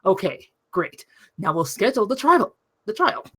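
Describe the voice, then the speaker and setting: A woman's voice: mid-range voice, very animated, normal speed, fairly bright tone, husky. A woman voicing a stern, indignant judge losing all patience in a comedy script table read. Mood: exasperated. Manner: indignant, scolding.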